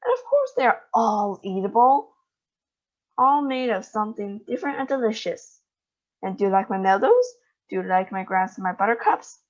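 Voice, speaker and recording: A woman's voice: mid-range voice, sing-song, moderate pace, lightly acted. A woman voicing an eccentric, boastful male chocolate factory owner in a storybook reading. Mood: exuberant. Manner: exuberant, boastful, theatrical. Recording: dull lo-fi recording.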